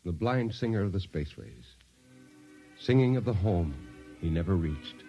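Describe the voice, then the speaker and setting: A solemn narrator of a vintage space drama. His voice is low and measured, on a dull old radio recording with soft music. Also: sing-song. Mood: solemn, elegiac. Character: solemn elegiac storyteller of space legend. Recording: muffled 1950s radio recording, music behind.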